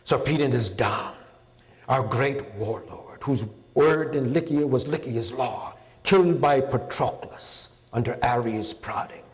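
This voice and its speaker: A grieving, wounded warrior played by a man reciting epic verse pleads with declamatory passion. His voice is pushed up high and rings through a hall with long reverb. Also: takes it slowly, natural voice. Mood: urgent reproach. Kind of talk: dramatic epic poetry reading.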